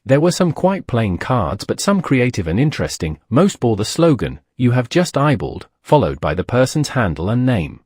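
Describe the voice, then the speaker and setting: A man's voice: mid-range voice, very animated, moderate pace, few pauses, a little mellow, breathy edge, dry recording, studio quality. A man narrating a documentary from a script.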